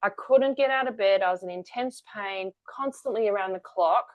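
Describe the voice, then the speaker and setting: A woman's voice: mid-range voice, moderate pace, dull audio. Candid woman telling her story. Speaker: guest, energy-work founder. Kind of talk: conversational interview.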